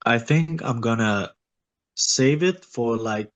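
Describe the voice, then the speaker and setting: A sick man answering interview questions in short, simple, halting sentences. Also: mid-range voice. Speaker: guest.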